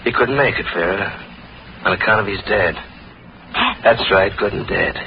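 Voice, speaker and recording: An actor playing a bitter, vengeful killer in 1940s radio crime style, hard-boiled and taunting. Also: male voice, on the low side, moderate pace, creaky. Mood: menacing taunts. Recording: noisy, muffled 1940s radio recording.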